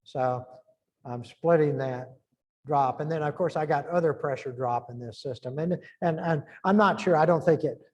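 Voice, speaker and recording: A man's voice: on the high side, moderate pace, dull audio. A middle-aged man giving an informal engineering lecture, thinking aloud and restarting phrases as he works through numbers.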